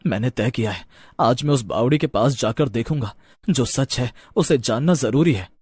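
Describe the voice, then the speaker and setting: A young village man from a horror story voiced by a man. He is brooding and earnest, speaking low and hushed with a husky grain. The recording is dry. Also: lively intonation, moderate pace, no room sound. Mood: uneasy resolve.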